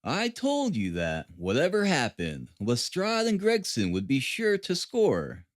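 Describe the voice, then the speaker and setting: A man voicing a confident, amused gentleman detective in an audiobook, with a wry, assured and commanding manner. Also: mid-range voice, huge pitch swings, measured pace, dry recording, clean studio sound.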